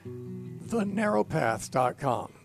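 A hoarse man hosting a live call-in Bible radio show, speaking with frequent fillers and restarts. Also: on the high side, sing-song, slow pace, music in the background. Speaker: radio talk show host.

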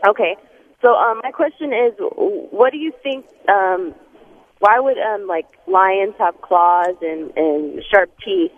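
Hoarse woman caller on a phone line. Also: mid-range voice, slow. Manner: hesitant, many ums. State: raspy, hoarse voice.

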